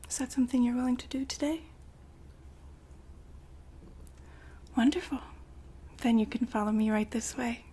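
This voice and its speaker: A woman playing the poised, businesslike madam of a futuristic establishment in an ASMR roleplay, whispering softly and formally to a client. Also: on the high side. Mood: courteous, businesslike. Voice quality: soft, breathy whisper. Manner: polite, formal, unhurried saleswoman patter.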